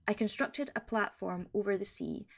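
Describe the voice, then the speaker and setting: Actress as a composed woman naturalist filing audio-drama field reports; precise, measured storytelling with noticeable vocal fry. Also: medium pitch. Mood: composed, intrigued. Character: composed female field researcher of strange nature. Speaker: field correspondent. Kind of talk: scripted fiction podcast report.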